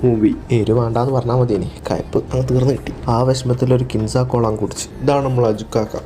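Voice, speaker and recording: A man's voice: mid-range voice, steady tone, fairly quick, mellow, a little hiss or hum. A man from Kerala with a Malabar Malayalam accent, narrating a casual vlog in a slangy, joking, familiar way. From Kerala, India. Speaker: vlogger.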